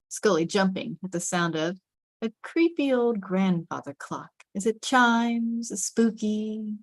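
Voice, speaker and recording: A woman's voice: mid-range voice, no echo. A woman reading stage directions as dramatic scripted narration, with suspenseful, emphatic phrasing.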